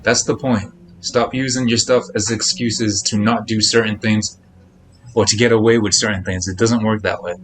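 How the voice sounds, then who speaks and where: A man's voice: lowish voice, fairly even intonation, normal speed, fairly bright tone. A man chatting casually on a live game stream, speaking in a blunt, admonishing, repetitive way. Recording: noticeable background noise.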